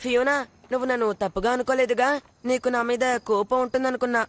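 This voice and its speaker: A woman voicing an earnest, excitable young boy in a dubbed cartoon, eager and dramatic. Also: a little high, fast talker, heavy background noise, lightly acted. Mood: eager, worried.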